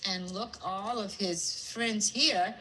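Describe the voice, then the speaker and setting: A woman speaking as a preschool teacher, instructive and deliberate, using simple wording. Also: mid-range voice, sharp tone, slight background noise, poor audio. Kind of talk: instructive talk to and about young children.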